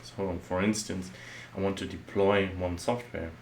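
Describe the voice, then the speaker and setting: German man, low voice, software architect talking casually through slides, slight background hiss. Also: little pitch movement, measured pace. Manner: loose and conversational, many "well" and "uh" fillers. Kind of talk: explanatory slide talk.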